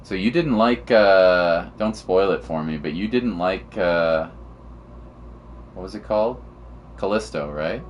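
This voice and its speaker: A man's voice: deep voice, a little slow, muffled recording, slight background noise. A man chatting casually on a game live stream in an offhand, slangy way.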